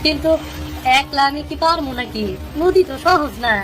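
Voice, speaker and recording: A woman's voice: high-pitched, lively intonation, moderate pace, music in the background. A woman voicing a frustrated young fisherman in a cartoon story, plaintive and complaining, with a rural Bangladeshi Bengali accent. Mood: hesitant. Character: frustrated poor young fisherman, pleading and torn.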